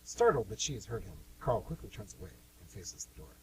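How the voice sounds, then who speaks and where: Animated male narrator over noisy hum. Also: lowish voice, a little fast. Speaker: host and narrator. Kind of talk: scripted radio play narration. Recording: amateur radio with clear hum noise.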